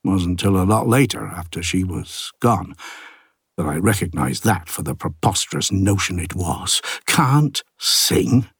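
A man narrating an audiobook as an ageing village artist, a plain-spoken, gruff and reflective loner, with a husky low voice and a wry, understated delivery. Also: lively intonation, unhurried, no room sound, clean studio sound, exaggerated voice. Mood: wistful, musing. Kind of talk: first-person literary audiobook narration.

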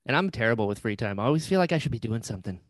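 A man hosting a podcast interview, enthusiastic and playful, agreeing warmly with his guest. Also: medium pitch, expressive, very fast, dry recording, clean studio sound. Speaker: podcast host.

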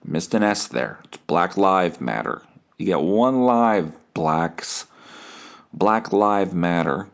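A man with a fairly low voice hosts a casual comedy podcast. He tells his story in a self-deprecating, sweary way, acting out both sides of text conversations as he goes. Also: expressive, takes it slowly. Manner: foul-mouthed, self-deprecating. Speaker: podcast host.

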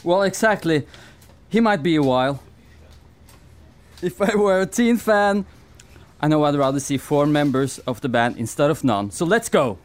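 A man's voice: fairly high pitch, normal speed, long pauses, natural voice. A man from Norway playing an impatient, brash young band member in an amateur radio play, urgent in manner. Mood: urgent, dismissive.

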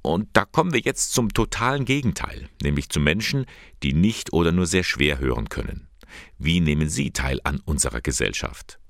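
A man presenting a radio magazine segment, reading his script in a calm, measured way. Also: a bass voice, gravelly, no echo, studio quality. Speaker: radio host.

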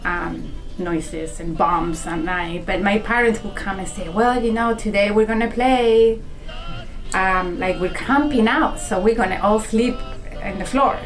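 A woman's voice: mid-range voice, a little slow, soft background music, poor audio. A woman from Chile, telling her life story as a medical interpreter in a reflective way, with many ums and restarts.

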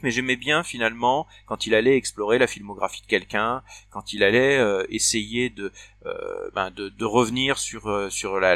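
A man's voice: mid-range voice, sharp tone, dry recording. A man in his forties co-hosting a casual conversation, talking hesitantly with many fillers and restarts. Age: 40s. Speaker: podcast co-host.

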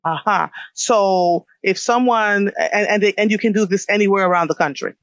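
A woman's voice: medium pitch, normal speed, no room sound, dull audio. A woman from Jamaica hosting a radio show, interviewing a guest in a conversational way.

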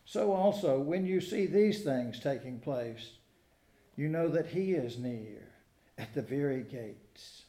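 A man preaching a sermon and reading scripture in a measured, explanatory way, with deliberate phrasing. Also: mid-range voice, expressive, dark tone. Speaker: preacher at a church service. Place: indoors in a church. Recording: roomy, echoing church sound.

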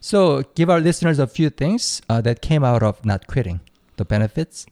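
A man's voice: medium pitch, lively intonation, normal speed, warm tone, no room sound, studio quality. A man who is a native Korean speaker, hosting a podcast and guiding a casual interview. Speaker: podcast host.

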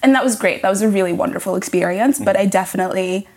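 Young woman guest from Zimbabwe, relaxed chatty delivery full of fillers, mid-range pitch. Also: fast talker. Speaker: podcast guest. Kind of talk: casual interview chat.

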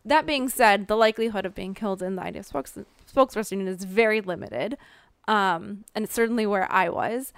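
A woman from the American Midwest with a Minnesota accent, an author answering interview questions thoughtfully, with frequent pauses and restarts. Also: medium pitch, clean tone, no room sound.

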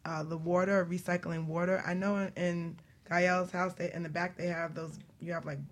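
A woman hosting a casual, chatty interview, excitable and curious, jumping between questions in an informal way. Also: fairly low pitch, normal speed. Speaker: radio show host.

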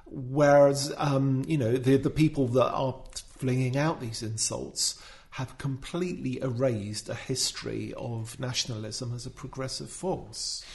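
A man at mid pitch talks through political ideas on a discussion podcast. He thinks aloud as he speaks, stopping and restarting often and filling his pauses with ums. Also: fairly even intonation, vocal fry, darkish tone. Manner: thoughtful, hesitant, many fillers and restarts. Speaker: podcast guest, writer. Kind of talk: casual discussion.

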